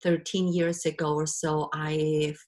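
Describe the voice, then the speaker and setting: A middle-aged woman from Ukraine with a Ukrainian accent, presenting a webinar and pausing often on fillers. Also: deep voice, little pitch movement, darkish tone. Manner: hesitant, many fillers.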